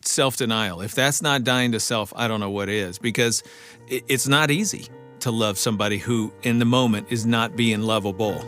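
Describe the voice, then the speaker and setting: A man hosting an interview, asking questions in a quick, conversational way. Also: on the low side, fairly bright tone, soft background music. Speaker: podcast host.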